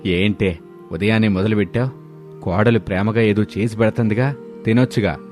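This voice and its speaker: A man playing a mildly exasperated elderly husband, chiding in an animated moral story. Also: lowish voice, a little fast, faint music behind, lightly acted. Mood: mildly annoyed.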